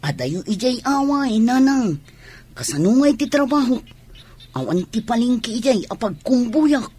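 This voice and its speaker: A woman's voice: on the high side, lively intonation, unhurried, dark tone, breathy edge. A woman with an Ilocano accent playing a young daughter, earnest and pleading with her mother in a radio drama. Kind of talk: Filipino radio drama acting.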